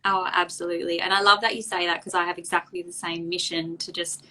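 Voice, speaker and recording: A woman in her thirties, a speech pathologist guest, with a slightly husky voice from a recent cold, friendly and quick to laugh. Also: on the low side, flat delivery, bright, forceful tone, rough recording. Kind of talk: casual interview talk.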